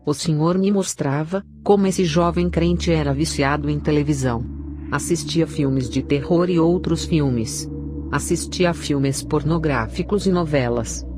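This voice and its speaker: A woman's voice: sits low, measured pace, background noise. A woman with a Brazilian accent reading a scripted narration of a religious testimony. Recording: clear background music under the voice. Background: music.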